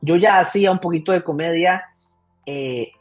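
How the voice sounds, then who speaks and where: A man in his thirties from Costa Rica, a comedian guest telling his life story in a casual, lively interview. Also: on the high side, normal speed, faint music behind. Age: 30s.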